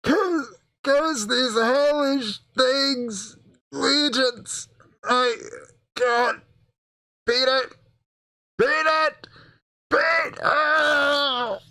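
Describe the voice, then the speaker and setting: A man voicing a terrified, despairing occultist who begs his friend to flee, in anguished, halting radio play melodrama. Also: very high voice, steady tone, bright, forceful tone, no echo, pitched above the performer's own voice, heavily acted, rough recording. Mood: shrieking terror. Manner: anguished, halting, drawn-out cries.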